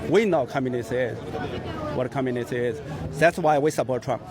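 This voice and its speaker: Man interviewed on a busy street. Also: mid-range voice. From China. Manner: earnest, halting, explaining. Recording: street crowd noise.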